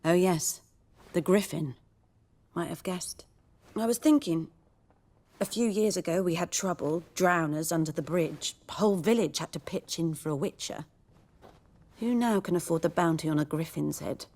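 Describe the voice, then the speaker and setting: A woman playing a cynical, world-weary village herbalist in a fantasy game, measured and dry in her delivery. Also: fairly low pitch, a little mellow. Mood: wry, bitter.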